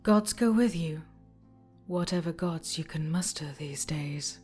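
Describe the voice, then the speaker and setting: A woman playing a tall, solemn, weary stone forger in an audio drama, speaking in a measured, hushed, ominous way. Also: low voice, husky, faint music behind.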